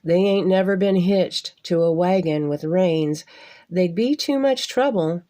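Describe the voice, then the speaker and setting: A woman's voice: lowish voice, measured pace, mellow, close to an everyday voice. A woman voicing a wary but hospitable old cowhand in a Western storybook, with folksy ranch phrasing. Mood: doubtful.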